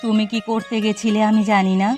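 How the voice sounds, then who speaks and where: A woman's voice: mid-range voice, flat delivery, a little fast, a little mellow, faint music behind, natural voice. A woman with a West Bengal accent voicing a thief's suspicious, disapproving wife in a cartoon, speaking in pointed reproaches. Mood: reproachful. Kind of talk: cartoon voice acting.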